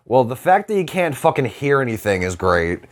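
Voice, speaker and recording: A man with a middle-pitched voice chats as co-host of a casual comedy podcast. He is blunt and sweary, tossing out jokes in loose, conversational banter. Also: big pitch swings, moderate pace.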